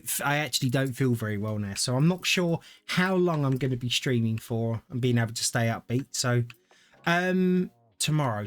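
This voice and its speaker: Chatty man streaming a game. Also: mid-range voice. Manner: rambling, thinks aloud, chats to viewers. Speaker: gaming streamer. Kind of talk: casual live-stream gameplay commentary.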